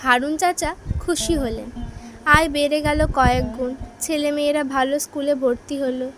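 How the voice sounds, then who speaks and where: A woman's voice: high voice, fairly even intonation, normal speed. A woman reading scripted narration for a children's moral cartoon story.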